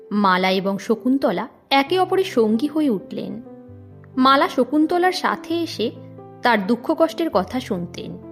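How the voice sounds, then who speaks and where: A woman narrating an audio story in an expressive, dramatic storytelling manner. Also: a little high.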